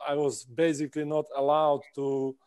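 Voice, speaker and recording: A man in his thirties with a Czech accent, a basketball player answering interview questions over a video call, speaking haltingly with many pauses and restarts. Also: on the high side, little pitch movement, a little mellow, clear, resonant tone. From Czech Republic. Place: indoor room at home. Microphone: video call laptop mic.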